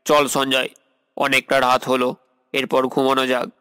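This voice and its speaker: A man playing a skeptical young friend in an animated horror story, with questioning, theatrical delivery. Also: mid-range voice, normal speed, clean tone, dry recording, clean studio sound, lightly acted. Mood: doubtful, puzzled.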